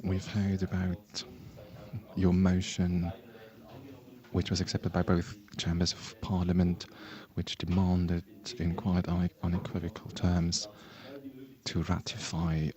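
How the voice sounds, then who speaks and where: A man's voice: low voice, monotone, slow, a little mellow, poor audio. A man moderating a panel discussion at a public event, hesitant and self-deprecating, with frequent fillers.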